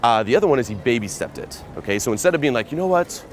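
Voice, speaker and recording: A man's voice: mid-range voice, lively intonation, very fast, a little hiss or hum. A man giving a casual, profane voice-over breakdown as a dating coach, peppering every point with "okay" and "you know". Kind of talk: commentary breaking down a recorded pickup.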